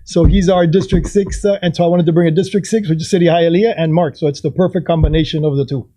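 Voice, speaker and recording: A man's voice: fairly high pitch, steady tone, fast talker, warm tone, low-quality recording. A man co-hosting a podcast, talking casually and eagerly, jumping in to add points. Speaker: podcast co-host. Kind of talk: casual chat.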